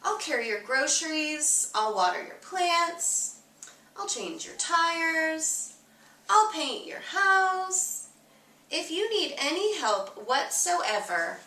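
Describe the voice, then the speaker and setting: A woman voicing an earnest, eager-to-please egg who tells a storybook tale, with expressive, emphatic delivery. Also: high-pitched, takes it slowly, roomy sound, far from the mic, voice pushed up, exaggerated voice, poor audio. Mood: cheerful, proud.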